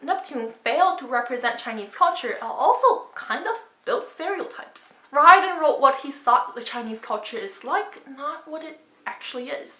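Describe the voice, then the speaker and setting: A woman's voice: high voice, bright, forceful tone. A woman giving emphatic, opinionated commentary to camera as a book vlogger. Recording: roomy echo.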